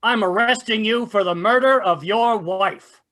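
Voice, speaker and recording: Woman playing a sharp police inspector, old radio whodunit, medium pitch, formal and probing. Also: a little slow, lightly acted. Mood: accusing. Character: shrewd, accusing police inspector, formal and dry. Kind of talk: golden-age radio mystery acting.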